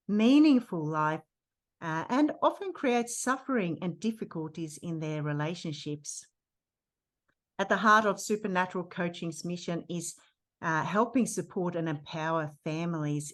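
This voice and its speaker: A woman hosting a podcast, introducing and interviewing her guest in a casual, effusive way with many fillers and restarts. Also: on the low side. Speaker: podcast host.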